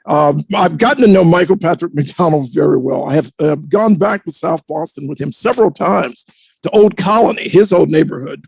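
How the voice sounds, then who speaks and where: A man speaking as a panelist, giving reflective commentary in a deliberate, articulate way with formal wording. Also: a little high, gravelly, a little mellow, slightly rough, dry recording.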